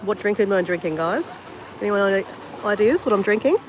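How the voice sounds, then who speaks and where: A woman's voice: medium pitch, expressive, a little fast, low-quality recording. An Australian woman with a cold and a slightly sniffly voice chats casually to her viewers while she paints. She teases herself and keeps the talk loose and friendly. Faint outdoor noise sits under her voice. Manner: chatty, self-mocking, talks straight to viewers. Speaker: travel vlogger. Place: outdoors by the sea on an island.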